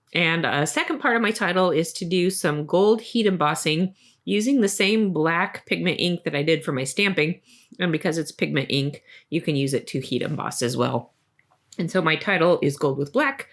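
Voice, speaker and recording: A woman with a slightly hoarse voice from a cold, hosting a casual craft tutorial. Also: fairly low pitch. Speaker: host of a crafting video channel.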